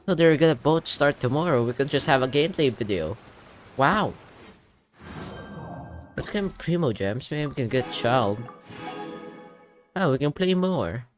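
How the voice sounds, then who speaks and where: A young man giving casual game reaction commentary, excitable and thinking aloud. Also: medium pitch, unhurried, long pauses. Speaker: gaming YouTuber. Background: game music.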